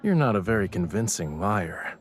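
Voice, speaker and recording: A man's voice: fairly low pitch, big pitch swings, normal speed. A man playing a courteous, teasing young painter in an audio drama, with music behind him. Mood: teasing, probing. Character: young male painter, courteous, teasing, coolly puzzled gentleman.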